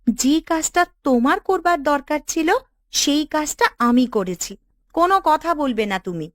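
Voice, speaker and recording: A woman's voice: high-pitched, animated, measured pace, a bit bright, no echo, studio quality. An actress playing a bold, bossy village woman in a cartoon, assertive and scolding. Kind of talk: Bengali cartoon dialogue.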